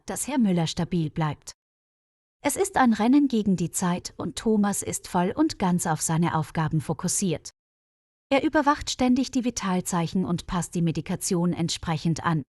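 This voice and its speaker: A woman reading a scripted story for language learners, articulating clearly. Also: on the low side, a little mellow, dry recording, clean studio sound. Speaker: narrator.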